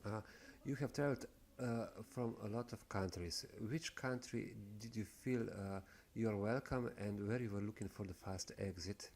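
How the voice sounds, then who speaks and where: A man's voice: fairly low pitch, normal speed, few pauses, darkish tone. A man interviewing a guest, asking questions hesitantly with many fillers.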